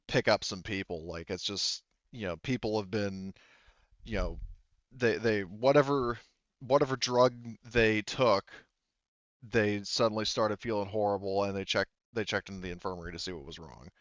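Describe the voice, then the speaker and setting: Low, smooth-voiced man running a tabletop game. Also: a bit bright, no room sound, muffled recording. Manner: halting, explanatory, circles back to rephrase. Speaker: game master. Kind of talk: improvised narration for a tabletop roleplaying game.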